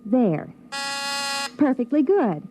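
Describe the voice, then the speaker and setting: A woman's voice: high voice, sing-song, slow, full character voice. A woman playing a young, chirpy tour guide reciting a rehearsed spiel in a 1950s radio drama. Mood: bright, rehearsed. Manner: rehearsed, cheery, patter-like. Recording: muffled 1950s radio recording, faint noise.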